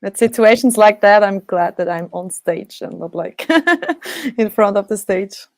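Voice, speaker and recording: A Swiss woman with a Swiss accent chats as an interview guest over a video call. Her English is halting, with frequent hesitations and laughs. The sound is dry and close. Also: medium pitch, lively intonation, dry recording. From Switzerland. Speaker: rock singer, guest. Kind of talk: casual interview chat.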